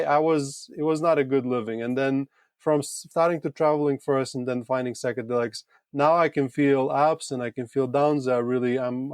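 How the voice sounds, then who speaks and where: A man from Latvia with a Latvian accent speaks as a podcast guest. His mid-pitched voice moves in halting, thoughtful phrases as he tells a personal story casually. The recording is dry and close. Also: darkish tone, clean tone, dry recording. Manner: halting, thoughtful, hesitant phrasing with repeated words. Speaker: podcast guest, retreat owner.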